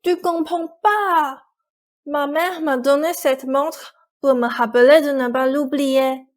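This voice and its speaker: A woman playing a stubborn, grieving young Cinderella in a French radio play, with a brooding delivery. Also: high-pitched.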